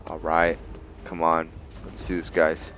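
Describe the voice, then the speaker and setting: A man giving unscripted roleplay commentary over a video game, halting and deliberate, with long pauses. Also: a bass voice, completely flat delivery, a bit bright, faint music behind. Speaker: gamer hosting a roleplay playthrough.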